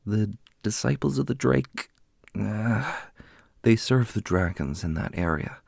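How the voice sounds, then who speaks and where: A man playing a solemn, strategic warrior leader in a fantasy audio roleplay, speaking in a formal, measured way. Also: on the low side, expressive, gravelly, a little mellow, no room sound, studio quality, heavily acted. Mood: measured, planning.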